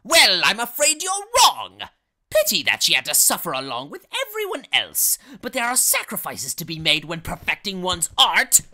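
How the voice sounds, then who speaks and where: A woman's voice: fairly low pitch, wildly swooping pitch, pushed and bright, heavily acted. A woman playing a gloating teenage prankster villain in an audio drama, theatrical, taunting and clownish. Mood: smug. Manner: theatrical, taunting, drawn-out delivery.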